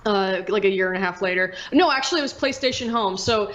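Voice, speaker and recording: A woman's voice: medium pitch, fast, fairly bright tone, highs cut off. A woman chatting casually and candidly as a podcast guest, rambling as she goes. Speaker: podcast guest, video creator and streamer. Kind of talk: casual chat.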